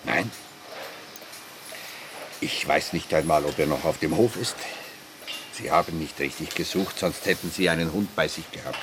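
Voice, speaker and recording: A retired cop, older man, very low voice, dry and gruff, radio drama with faint ambience. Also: normal speed, pauses often, background noise. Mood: dry, reserved. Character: gruff, calm older retired policeman, a grandfather. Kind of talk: radio play acting.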